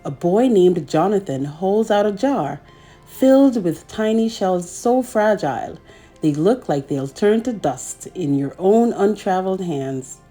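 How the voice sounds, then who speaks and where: A woman's voice: medium pitch, animated, a little slow, warm tone, soft background music. A woman hosting a children's read-aloud, telling a picture-book story expressively and speaking directly to young listeners.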